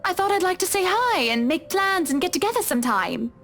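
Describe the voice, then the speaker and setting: A woman's voice: extremely high pitch, sing-song, brisk, a bit bright, soft background music, exaggerated voice. A woman voicing a genteel, affectionate and wry lady of a mansion in a visual novel, with a gracious, proper manner. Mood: gracious, warm.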